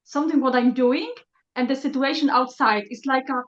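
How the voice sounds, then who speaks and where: A woman who learned English later shares her thoughts in a group call, halting and reflective as she searches for words. Her voice sits fairly high. The call audio is echoey and dull. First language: not English. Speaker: session participant.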